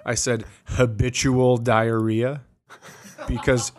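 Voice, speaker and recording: A man's voice: mid-range voice, flat delivery, slow pace, darkish tone. A middle-aged man hosting a comedy podcast in casual chat, telling stories with an amused, ready-to-laugh manner.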